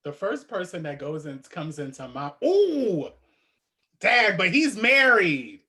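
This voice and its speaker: Sassy animated young woman. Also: fairly low pitch, takes it slowly, slightly rough. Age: young adult. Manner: sassy, animated, laughs a lot. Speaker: podcast host. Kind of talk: casual chat.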